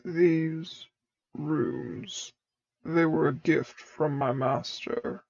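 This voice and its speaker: A woman voicing a grave but gently fond masked dark lord in a fan fiction audiobook, with low, measured, formal speech. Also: vocal fry, no room sound, dull audio, exaggerated voice. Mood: grave, subdued.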